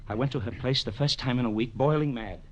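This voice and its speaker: A man playing a nervous, pleading accountant, earnest and halting, in 1940s radio drama style. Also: mid-range voice, lightly acted. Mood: agitated. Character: anxious, pleading male accountant in serious trouble.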